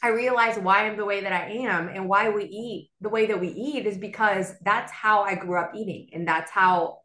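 A young adult woman from South Florida, a bilingual Spanish and English speaker, telling her story as a podcast guest in an animated, chatty way. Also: mid-range voice, normal speed, echoey room. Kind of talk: casual conversational storytelling.

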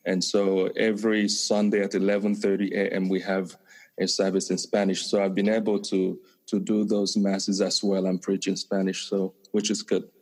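Soft-spoken Nigerian priest, lowish voice. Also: male voice, flat delivery. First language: Igbo. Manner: measured, many pauses and fillers, light laughs. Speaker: priest and counseling professor. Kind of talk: interview answers.

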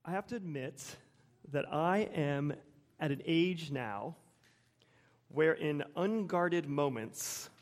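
High-voiced American man preaching, measured and emphatic. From United States, suburban Wilmington. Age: 40s. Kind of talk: sermon with personal anecdotes.

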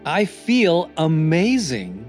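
A man reading scripted motivational affirmations as a podcast host, in a deliberate, encouraging manner. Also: fairly high pitch, wildly swooping pitch. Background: new-age music.

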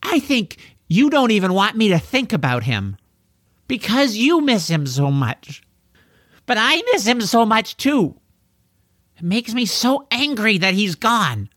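A man's voice: sits high, big pitch swings, measured pace, fairly bright tone, no room sound, studio quality, pitched above the performer's own voice, exaggerated voice. A man voicing an earnest, grieving boy in an emotional, pleading way for a children's audiobook. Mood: hurt, angry. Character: earnest, grieving boy who talks like a young knight.

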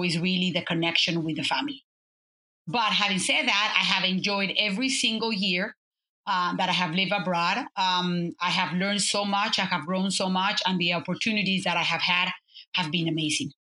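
A woman in her fifties from Mexico, with a Mexican Spanish accent, speaking as an interview guest in a warm, storytelling way with many fillers and restarts. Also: medium pitch. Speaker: guest, business consultant. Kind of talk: conversational interview.

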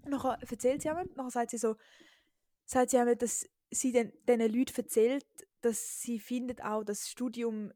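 A woman's voice: a little high, fairly even intonation, moderate pace, dark tone, no echo. A young woman in her twenties with an Eastern Swiss German accent, chatting casually as a podcast co-host, giggly and full of fillers. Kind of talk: casual chat.

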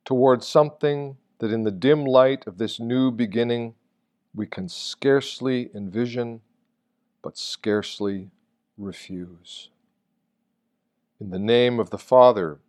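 A man reading a reflective sermon from a text in a solemn, deliberate manner, posing rhetorical questions. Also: lowish voice, darkish tone. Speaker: reader of a Lenten meditation.

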